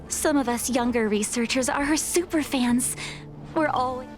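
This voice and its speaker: A woman's voice: sits high, unhurried, faint music behind. A woman playing a young researcher and devoted fan in a video game. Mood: let down. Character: young female researcher, sighing superfan. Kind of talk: video game character acting.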